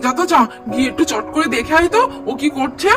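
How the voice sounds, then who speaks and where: A woman's voice: high-pitched, fast, recorded from a distance, close to an everyday voice. A woman voicing an easygoing older-brother doll boy in a children's cartoon, casual and repeating phrases. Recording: music behind the voice. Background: music.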